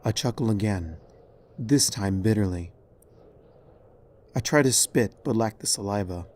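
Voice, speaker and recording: Low-pitched man, somber story narrator. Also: unhurried, darkish tone. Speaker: fan fiction narrator. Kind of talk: dramatic scripted story narration.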